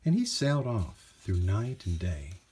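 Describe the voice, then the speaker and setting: Husky-voiced man reading a children's storybook. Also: lowish voice, very animated, a little slow, darkish tone. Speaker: storybook reader. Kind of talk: scripted storybook narration for children.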